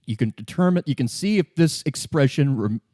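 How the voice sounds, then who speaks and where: A man's voice: medium pitch, animated, a little fast, dark tone, slightly rough, no room sound, clean studio sound. A man narrating a tabletop role-play as game master in a conversational style.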